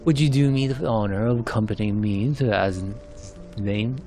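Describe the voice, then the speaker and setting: A man voicing a secretive, watchful bard from a fantasy game, with measured and formal archaic phrasing. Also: fairly low pitch, mellow, natural voice. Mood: grave, deliberate. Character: suave, secretive male bard, grave and watchful. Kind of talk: amateur narrated fantasy game cutscenes. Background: music.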